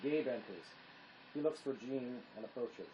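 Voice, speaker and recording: A man's voice: mid-range voice, moderate pace, dark tone, low-quality recording. A man reading stage directions as scripted narration. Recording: clear background noise.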